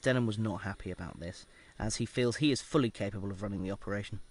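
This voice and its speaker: An actor playing a measured research scientist who dictates an experiment log in a formal, clinical manner, with hesitant pauses, in an audio drama. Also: male voice, lowish voice, lightly acted. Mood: measured, uneasy.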